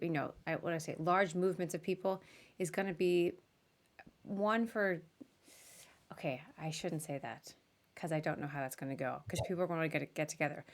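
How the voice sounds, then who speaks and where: A woman hosting a podcast, interviewing a guest in a casual, chatty and informal way. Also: low voice. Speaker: podcast host.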